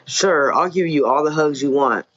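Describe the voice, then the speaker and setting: A man reads a motherly woman social worker in a story, compassionate and jolly. The phrasing is tender and soothing, the pitch a little high, the recording lo-fi. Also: moderate pace, a bit bright. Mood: tender, cheerful.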